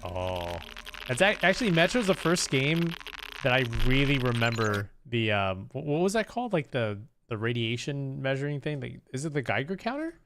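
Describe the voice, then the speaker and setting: A man's voice: medium pitch, big pitch swings, moderate pace, background noise. A man hosting a livestream, chatting casually to viewers in a rambling, hesitant way and often repeating himself. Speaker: live streamer host.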